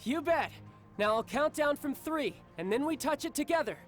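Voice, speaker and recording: A man's voice: very high voice, expressive, normal speed. A man playing a doting, teasing young warrior and big brother in a video game, speaking affectionately. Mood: encouraging. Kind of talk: video game character acting. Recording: game music behind the voice.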